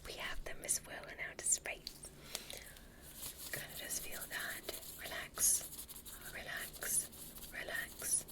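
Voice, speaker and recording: A woman playing a gentle, curious alien doctor in a whispered ASMR roleplay, breathy and soothing, softly giving instructions. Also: high voice. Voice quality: breathy, mostly unvoiced whisper. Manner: soothing, softly instructive, repeats short praise. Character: gentle curious alien medical examiner, female. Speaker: ASMR performer.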